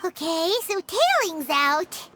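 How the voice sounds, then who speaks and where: A woman voicing a tiny, curious, excitable childlike fairy companion in a video game, with a squeaky, perky cartoon voice. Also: slow, background noise, exaggerated voice. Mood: thoughtful. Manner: perky, speaks of herself in third person. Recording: game audio with prominent playful music.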